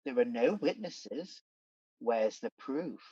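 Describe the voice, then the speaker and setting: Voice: medium pitch, expressive, slow pace, dark tone, breathy edge, no room sound. An actor playing a scheming pantomime demon king in a radio play, sly, theatrical and coaxing, on a dull recording.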